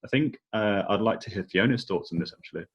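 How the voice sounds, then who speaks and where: A man moderating a panel, putting questions to the speakers in a conversational way, on dull low-fidelity audio. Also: quick pace, slightly rough. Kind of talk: reading out discussion questions.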